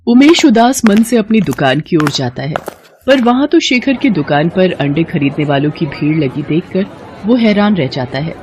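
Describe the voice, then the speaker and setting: A woman with a slightly husky voice reading a scripted moral tale as a storyteller. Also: lowish voice, lively intonation, normal speed, background noise.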